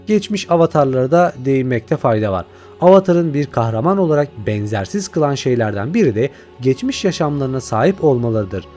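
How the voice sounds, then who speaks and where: Man, scripted review narration, animated intonation, soft dark tone, light music underneath. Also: medium pitch. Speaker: video channel host and reviewer. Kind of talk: scripted video essay narration.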